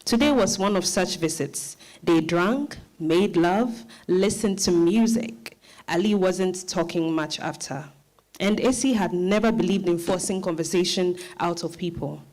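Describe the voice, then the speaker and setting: Measured woman narrator. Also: lowish voice, a little mellow.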